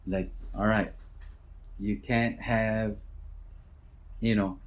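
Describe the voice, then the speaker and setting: A middle-aged man with a naturally loud voice, a school principal answering interview questions in a relaxed, rambling way. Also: on the low side, drawn-out words, lo-fi sound.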